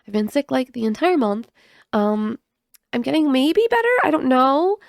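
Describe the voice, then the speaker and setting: A woman's voice: sits high, very animated, moderate pace, vocal fry, no room sound, clean studio sound. A woman hosting a gaming commentary video, sick and congested, with a cough drop in her mouth, chatting casually with self-mocking asides. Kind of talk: casual commentary and reading aloud.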